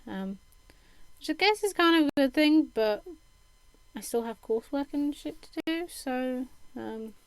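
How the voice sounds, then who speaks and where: A young woman streaming while she sews, talking hesitantly with many pauses and fillers, her throat a bit croaky. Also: sits high, expressive, dry recording, low-quality recording. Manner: hesitant, lots of ums, self-deprecating laughs. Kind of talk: casual chat while sewing. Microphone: desk microphone.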